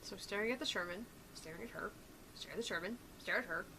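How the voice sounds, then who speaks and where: A woman's voice: mid-range voice, fast talker, bright, forceful tone, a little hiss or hum, poor audio. A woman co-hosting a gaming stream, chatting casually with teasing, slangy banter.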